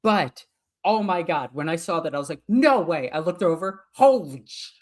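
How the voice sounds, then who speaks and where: A woman with an Icelandic accent and a notably low-pitched voice co-hosts a casual podcast. She talks as an enthusiastic fan, gushing about what she loves and laughing easily. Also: lively intonation, moderate pace, darkish tone. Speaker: podcast co-host. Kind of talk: casual chat.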